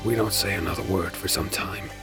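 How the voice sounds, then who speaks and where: A man's voice: sits low, steady tone, fairly quick. An actor playing a weary, sardonic space pirate who tells his story in an audio drama. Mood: hushed, grim. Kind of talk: first-person audio drama narration with acted dialogue. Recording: dramatic music under the voice. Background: music.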